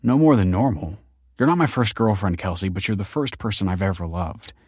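A man's voice: low voice, brisk, a little mellow, breathy edge, no room sound. Man as earnest young guy, sincere, eager, conciliatory, upbeat storybook character, close dry recording. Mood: smitten, sincere.

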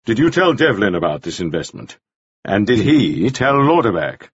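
A man voicing a stern, calculating jailer who questions in a slow, probing way. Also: fairly low pitch, sing-song, husky, no echo, full character voice. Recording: dull lo-fi recording.

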